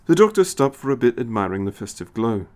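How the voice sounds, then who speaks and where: A man's voice: medium pitch, big pitch swings, brisk, a little mellow. A man reading scripted story narration as the narrator.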